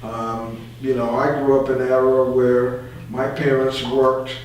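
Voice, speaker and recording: A man recorded in a heavily reverberant room with faint noise behind him. He talks in an earnest radio discussion, choosing his words slowly and with pauses. He sounds like a community guest explaining his views. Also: mid-range voice, little pitch movement. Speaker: community board member and guest. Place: echoey indoor room. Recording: very echoey room, distant mic, faint hum.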